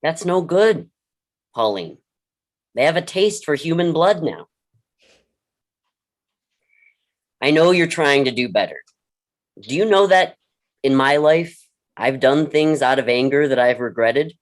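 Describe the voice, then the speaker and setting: An actor plays a blunt, world-weary mentor in a staged drama. The voice sits at a middling pitch, and the delivery is deliberate and earnest, with a dry frankness. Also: expressive, natural voice. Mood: stern, frank. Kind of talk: staged play reading, dialogue and monologue.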